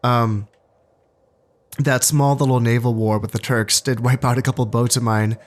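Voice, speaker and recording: A man talks through his strategy game campaign with a stuffed-up, congested voice. He sounds a little low on energy, thinking aloud in a relaxed way at an ordinary, middling pitch. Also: normal speed. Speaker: gaming channel host. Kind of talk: casual strategy game commentary.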